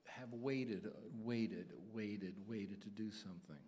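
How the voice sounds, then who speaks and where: A man's voice: lowish voice, takes it slowly, dark tone, slight background noise. A man hosting a radio show, interviewing a guest in a conversational live style. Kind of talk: live on-stage interview chat. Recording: live hall with long reverb.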